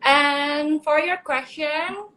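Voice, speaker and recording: A woman in her twenties from Indonesia, speaking English as a second language in a bubbly, excitable live video chat. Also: sits high, extremely slow, slight background noise. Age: 20s. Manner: bubbly, exclaiming, laughs often.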